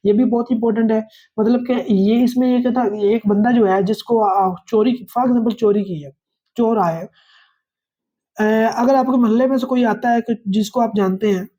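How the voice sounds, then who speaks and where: A woman's voice: medium pitch, warm tone. A woman with a Pakistani accent lecturing on law, explaining step by step in a didactic way with repeated phrases and frequent check-ins. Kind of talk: explanatory lecture, reading statute aloud and paraphrasing.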